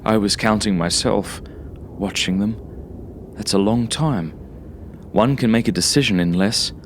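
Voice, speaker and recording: A man narrates a horror story in a fairly low voice, taking it very slowly. His delivery is measured and dramatic, like a first-person confession read from a script. Some noise sits behind the recording. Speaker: author reading own horror story.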